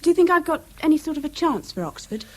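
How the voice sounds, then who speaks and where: Ambitious teenage English schoolgirl, very high voice, studious, earnest, articulate, British radio play. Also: sing-song, fast, a little mellow. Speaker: actor. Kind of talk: 1970s British radio drama acting.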